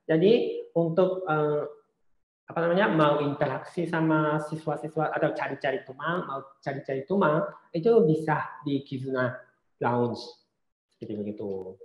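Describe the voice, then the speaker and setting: Male seminar presenter, slightly high pitch, hesitant and reassuring delivery, dull online-call sound. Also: moderate pace. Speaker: seminar presenter on studying abroad. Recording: muffled, roomy online call audio.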